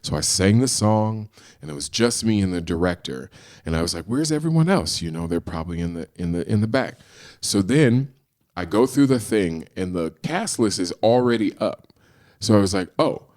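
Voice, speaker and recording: Low-voiced man telling lively stories. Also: moderate pace. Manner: animated storyteller, acts out quoted dialogue. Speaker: guest, screenwriter and actor. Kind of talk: casual storytelling in a podcast interview.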